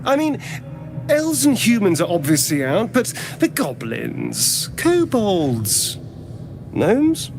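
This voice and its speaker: Arch, swooping elf rogue. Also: male voice, sits high, huge pitch swings, slow, exaggerated voice. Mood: incredulous, haggling. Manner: arch, teasing, drawling. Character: arch, theatrical, mocking male elf rogue. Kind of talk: video game character acting. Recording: music behind the voice.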